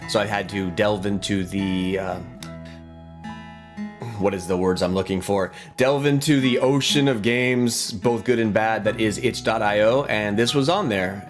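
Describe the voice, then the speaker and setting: A man giving casual let's play commentary, thinking aloud in an informal way and sometimes reading game text. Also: on the low side. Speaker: gaming video host. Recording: music behind the voice. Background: guitar game music.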